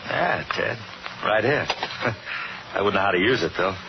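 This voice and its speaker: A man's voice: fairly low pitch, expressive, unhurried, gravelly, background noise. A man playing an anxious bandleader in a 1950s radio drama, with a folksy, colloquial way of talking. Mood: easygoing. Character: anxious male bandleader and clarinetist recounting his trouble. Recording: dull old radio recording, music behind.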